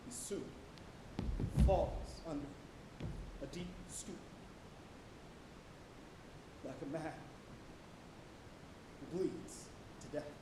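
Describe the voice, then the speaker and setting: A man delivering a theatrical sermon monologue on stage, declamatory, swinging from hushed tones to shouting. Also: very slow, long pauses. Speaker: stage actor preaching a sermon. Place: college auditorium stage. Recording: echoey auditorium with loud rumbling noise.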